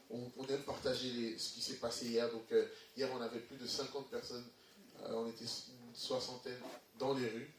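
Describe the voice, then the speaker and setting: An energetic man, addressing a church congregation as a guest ministry speaker. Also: mid-range voice, a little hiss or hum. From Belgium. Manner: energetic, upbeat. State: has a cold, sniffly. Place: church hall. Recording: echoey hall from far off.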